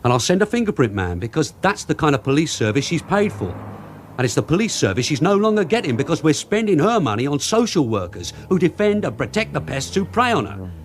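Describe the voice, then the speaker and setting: An actor playing a gruff, hot-tempered old-school detective sergeant in a radio drama, blunt, commanding and sardonic. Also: male voice, fairly high pitch, sing-song, a little fast, a little hiss or hum. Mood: indignant.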